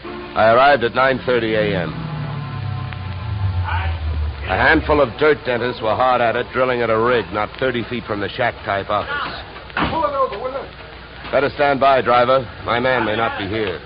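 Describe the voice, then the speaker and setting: An American actor playing a wry, hard-boiled insurance investigator in a 1950s radio drama, dry, clipped and wisecracking. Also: male voice, medium pitch, moderate pace, lightly acted. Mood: brisk, wry. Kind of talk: 1950s radio detective acting and narration. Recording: dull vintage radio recording with music.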